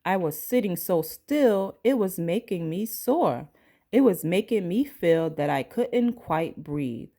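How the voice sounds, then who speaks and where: Slow, low-pitched woman reading a storybook. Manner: expressive, dramatizes sounds and dialogue. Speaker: pre-K teacher reading a picture book aloud. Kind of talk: storybook read-aloud for young children.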